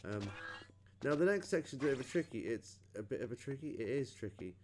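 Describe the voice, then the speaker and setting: A man's voice: medium pitch, animated, brisk, a little hiss or hum. A man with a British accent giving a casual, chatty game walkthrough, thinking aloud as he plays. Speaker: gamer recording a playthrough.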